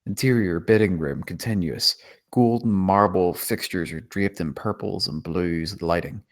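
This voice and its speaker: A man's voice: deep voice, normal speed. A man narrating a screenplay, reading out stage directions at a table read.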